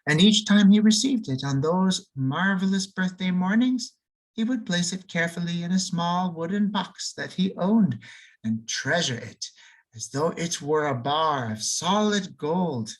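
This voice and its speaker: A man reading a children's storybook aloud, slowing down and lingering on key words, with free swings in pitch and loudness for emphasis. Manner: expressive, lingers and slows on key words for effect. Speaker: audiobook reader.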